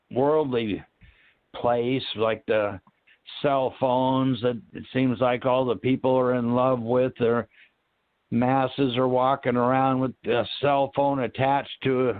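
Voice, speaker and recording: A man speaking in a halting, reflective way, searching for words and trailing off as he discusses spiritual ideas, over a narrow phone-like connection. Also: medium pitch, dry recording. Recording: narrow, tinny phone-line sound.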